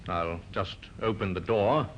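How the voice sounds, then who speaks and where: An actor playing a courteous, quietly pressing police inspector in a 1950s radio drama. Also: male voice, on the low side, measured pace, natural voice. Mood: calm, pressing. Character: calm, firmly insistent male police inspector. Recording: muffled, noisy old radio recording.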